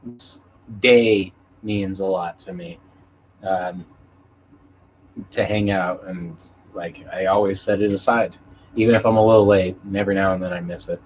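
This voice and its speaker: A man's voice: deep voice, fairly even intonation, normal speed, long pauses, darkish tone. A man hosting a casual live stream, chatting with viewers in a laid-back, rambling way and swearing freely. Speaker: musician. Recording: muffled lo-fi stream audio with background noise.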